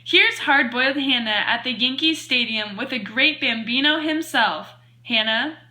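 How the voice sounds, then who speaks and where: A woman's voice: high-pitched, measured pace, sharp tone, exaggerated voice. A woman playing an earnest news anchor in a scripted amateur 1920s radio broadcast. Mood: upbeat.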